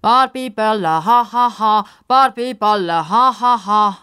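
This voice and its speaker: A woman's voice: on the high side, a little slow, over music, pitched above the performer's own voice, close to an everyday voice. Storybook bully boy, played by a woman: taunting, raised voice, cocky jeers. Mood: mocking. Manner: jeering, taunting. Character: mocking, chubby boy bully. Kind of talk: children's radio story character acting.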